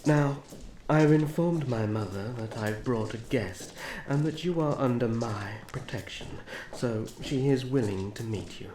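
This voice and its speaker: Woman as male elven prince, unhurried, earnest, formal, roomy sound, fantasy roleplay. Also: very deep voice, mellow. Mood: protective, courtly. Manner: formal, hesitant pauses, courtly. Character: young male elf prince, earnest, proud, protective. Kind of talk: scripted fantasy audio roleplay, ASMR. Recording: roomy sound with faint background noise.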